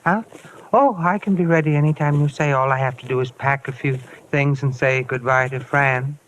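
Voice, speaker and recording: An actor playing a weary, bitter runaway husband in an old radio drama, talking in a halting, brooding way. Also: male voice, mid-range voice, fairly even intonation, a bit bright, natural voice. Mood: wistful, resigned. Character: weary, wistful male runaway husband, legally dead. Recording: noisy vintage radio recording.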